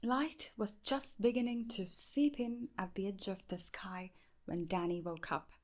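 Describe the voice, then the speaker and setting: A woman with an Indian accent reading a children's bedtime story aloud in a measured, expressive way. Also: medium pitch. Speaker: storybook reader.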